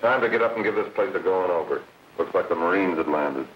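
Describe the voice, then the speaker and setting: An actor playing a bossy, irritable, slangy drug peddler in a 1930s film, curt and nagging. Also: male voice, deep voice, expressive, fast talker, a little hiss or hum. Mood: bossy, impatient. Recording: muffled, echoey old film, distant mic.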